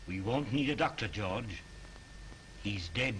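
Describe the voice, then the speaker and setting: An actor playing a brisk male film director giving curt orders on an old 1930s film soundtrack. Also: on the low side, lively intonation, a crowd in the background, poor audio.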